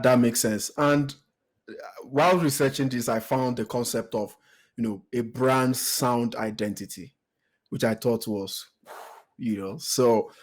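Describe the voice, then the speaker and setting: Nigerian man hosting a podcast. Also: mid-range voice. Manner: hesitant, repeats words, many fillers. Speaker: podcast host. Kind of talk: conversational interview.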